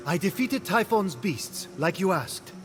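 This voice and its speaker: An actor playing an earnest, plucky young hero in a video game, sincere, dry and determined. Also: male voice, sits high, sing-song, measured pace. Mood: dutiful, wary. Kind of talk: animated video game cutscene acting. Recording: music clearly behind.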